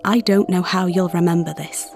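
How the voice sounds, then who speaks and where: A woman playing a gentle, protective therapist in an ASMR audio story, earnest and deliberate, with music behind her. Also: fairly low pitch, fairly even intonation, creaky. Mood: tender, reassuring. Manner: soothing, earnest, deliberate with pauses. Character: gentle, protective female visualization therapist guarding imagined minds. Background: background music.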